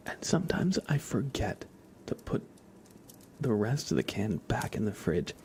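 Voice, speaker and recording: A man chatting softly as an ASMR livestream host, in a gentle hushed voice that often drops into whispers. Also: fairly low pitch, sing-song, measured pace, slight background noise. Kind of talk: soft-spoken ASMR livestream chat.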